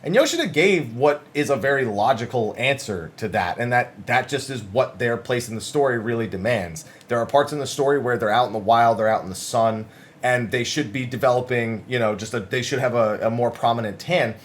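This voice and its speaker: A man's voice: medium pitch, a little fast, slight background noise. A man giving casual, conversational news commentary as a video host, talking through summaries with emphatic, wordy asides.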